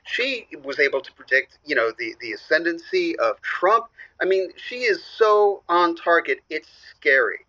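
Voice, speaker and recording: A man's voice: on the high side, lively intonation, moderate pace, few pauses, pushed and bright, no echo, dull audio. A man playing an earnest caller ruled by mom's math. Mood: earnest, deadpan. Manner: earnest, hesitant, many restarts. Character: male caller Lewis ruled by his mother's math. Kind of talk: improvised comedy call-in radio acting.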